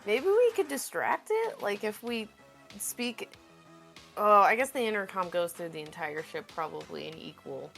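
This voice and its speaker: Creaky-voiced woman, casual game player. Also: mid-range voice, lively intonation, moderate pace, a bit bright, soft background music. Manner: informal, thinking aloud.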